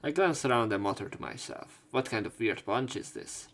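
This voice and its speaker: Gravelly-voiced guy reading a visual novel out loud, cracking jokes in between. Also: medium pitch, expressive, moderate pace. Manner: joking asides, casual reading with fillers. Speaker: let's play host. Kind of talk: reading game text aloud with commentary.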